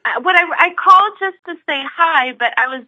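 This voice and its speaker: A woman from the United States phones in to a talk show, stumbling over her words and filling gaps with ums. Her voice is high and bright, and it comes across dry, with no room sound. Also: expressive, brisk, no room sound, muffled recording. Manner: hesitant, many fillers and restarts. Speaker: caller. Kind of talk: phone-in call.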